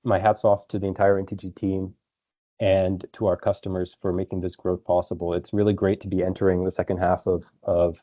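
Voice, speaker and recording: A man's voice: low-pitched, little pitch movement, fairly quick. A man presenting financial results as a company executive on an earnings call, in a businesslike, explanatory way. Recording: dull lo-fi conference call audio.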